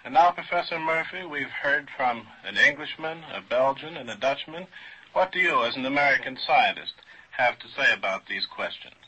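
A man moderating a formal scientific panel in a mid-century radio style, deliberate and courteous. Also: medium pitch, expressive, background noise. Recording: dull vintage radio recording.

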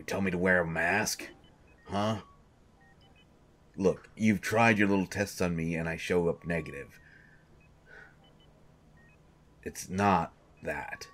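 Man voicing a grumpy male coach, very deep voice, husky, slow grumbling delivery. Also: a bit bright. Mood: defensive. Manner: grumbling, exasperated complaints. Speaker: voice actor. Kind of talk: audio drama monologue.

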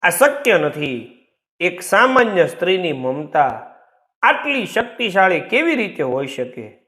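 A man voicing a sinister, rattled old witch-like woman in a story, incredulous and drawn-out. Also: sits high, big pitch swings, bright, forceful tone, full character voice. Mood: shocked disbelief.

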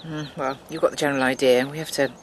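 A woman's voice: a bass voice, fairly even intonation, quick pace, slight background noise. Weary, earnest farming woman. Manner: earnest, rueful. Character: weary, conciliatory grown daughter of a farming family. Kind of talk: naturalistic radio soap acting.

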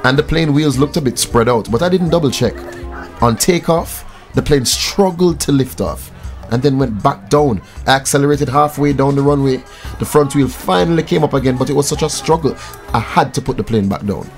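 A man's voice: mid-range voice, moderate pace, faint music behind, lightly acted. A man with a Jamaican accent playing an affable, earnest young airline pilot in a scripted radio drama. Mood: earnest, reflective.

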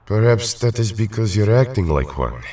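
An actor playing a calm, patient, big-brotherly male superhero with a deep, husky voice and formal phrasing. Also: moderate pace. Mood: concerned.

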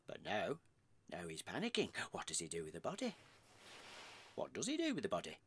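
An actor playing an excitable, morbid husband in a comic radio play, melodramatic and gossipy, with a British accent. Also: male voice, low-pitched, a little fast, husky, no room sound, studio quality, full character voice. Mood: scheming, earnest. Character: excitable morbid husband spinning lurid murder theories.

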